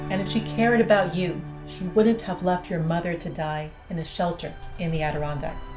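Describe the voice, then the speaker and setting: A woman's voice: lowish voice, fairly even intonation, moderate pace, natural voice. A woman playing a cynical, defiant astronaut in an audio drama, blunt, sardonic and persuasive, plotting an escape. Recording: roomy sound with music underneath.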